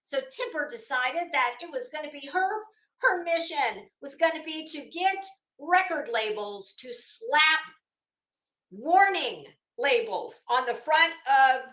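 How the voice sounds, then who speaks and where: A woman's voice: high voice, expressive, takes it slowly, a bit bright. A middle-aged woman giving casual livestream commentary in a brash, opinionated, sweary way, with dramatic emphasis. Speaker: livestream host. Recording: echoey room.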